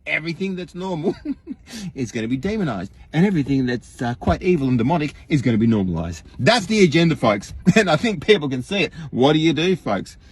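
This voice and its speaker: A man with an Australian accent and a slightly high voice speaks as a livestream host. He delivers a casual, wry rant straight to his followers, in a loose conversational way. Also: very animated, brisk, breathy edge.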